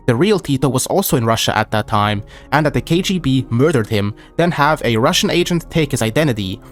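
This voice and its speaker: A man reading scripted history narration in a measured way, quoting documents aloud. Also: medium pitch.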